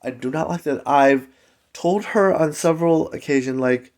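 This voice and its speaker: A man's voice: a little high, normal speed, a little mellow. A man telling a personal story in a casual, chatty, conversational vlog style with emphatic asides. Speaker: storytelling vlogger.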